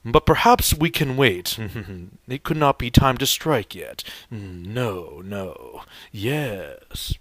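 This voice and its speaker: A man voicing a scheming robot dinosaur warlord from a cartoon, theatrical, commanding and gloating, with a gravelly edge. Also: medium pitch, sing-song, takes it slowly, hardly any pauses, fairly bright tone, no echo, exaggerated voice. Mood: gloating menace.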